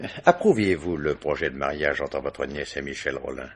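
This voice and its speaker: An actor playing a courteous, probing police commissioner in a French radio mystery, putting terse, formal questions. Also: male voice, very deep voice, moderate pace, a bit bright, slightly rough, heavily acted.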